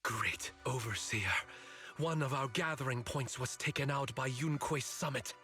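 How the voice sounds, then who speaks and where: A man plays a loyal cultist in a video game, with a mid-pitched, bright and forceful voice. He reports formally and reverently over faint music. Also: normal speed, close to an everyday voice. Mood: reverent, assured. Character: devoted male cult follower, reverent and confident. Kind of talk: dramatic video game voice acting.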